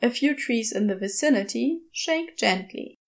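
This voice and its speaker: A woman's voice: on the high side, expressive, normal speed. A woman reading scripted fiction as an audiobook narrator.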